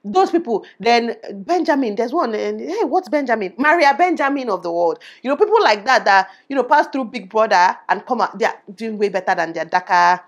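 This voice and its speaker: A young woman from Nigeria with a Nigerian accent, speaking in a small but loud voice, giving her opinions in a casual vlog with animated delivery. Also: a little high, brisk, hardly any pauses. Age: young adult. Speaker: YouTuber. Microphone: lavalier.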